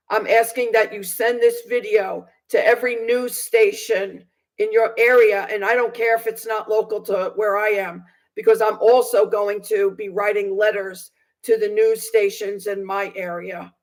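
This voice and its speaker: A woman in her sixties giving personal testimony to viewers in an aggrieved, emphatic manner, repeating phrases for stress. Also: medium pitch. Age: 60s. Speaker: vlogger recounting personal experience.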